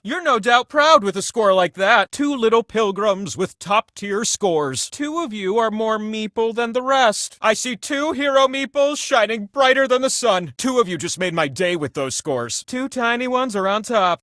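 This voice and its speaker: Casting: whimsical all-powerful game show wheel, high voice, very animated swings, gushing and theatrical. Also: male voice, no room sound, clean studio sound. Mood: doting, delighted. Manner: gushing, mock-grand, playful exclamations. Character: whimsical, grandiose godlike trivia wheel host, excitable and doting. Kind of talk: theatrical scripted game host lines.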